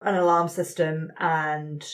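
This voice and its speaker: A woman's voice: low-pitched, flat delivery, slow. Woman facilitating a tabletop game. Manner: conversational, checks in with the group. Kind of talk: explaining tabletop game rules to players.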